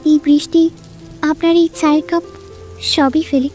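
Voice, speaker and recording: A wistful young woman in a romantic audio drama, played by an actress, with a very high voice. She speaks softly and poetically, holding her feelings back, over clear background music. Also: fairly even intonation, normal speed, natural voice. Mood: quietly sad. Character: wistful young woman, nameless coffee-shop companion in love.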